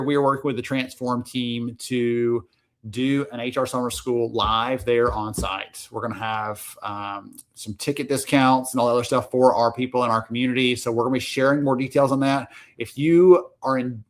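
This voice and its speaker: A man from the Southern US co-hosting an online HR event over a video call, friendly and brisk, teasing his co-host. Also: mid-range voice, steady tone. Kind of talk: casual livestream chat.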